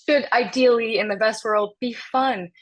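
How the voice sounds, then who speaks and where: A woman's voice: a little high, moderate pace. A woman in her thirties, born and raised in Denmark, chatting animatedly as an interview guest, with frequent laughs and restarts. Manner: animated, laughs often, many fillers and restarts. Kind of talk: casual interview chat.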